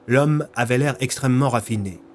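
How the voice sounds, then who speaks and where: A man's voice: mid-range voice, normal speed. A man narrating a scripted audio story in the manner of a storyteller. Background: faint music.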